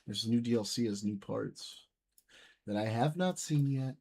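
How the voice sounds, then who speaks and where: A man's voice: mid-range voice, unhurried, gravelly, darkish tone, breathy edge. A young man hosting a live stream, chatting loosely with viewers and swearing often. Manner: loose, profane banter with viewers. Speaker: livestream host reading chat. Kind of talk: casual live-stream chat.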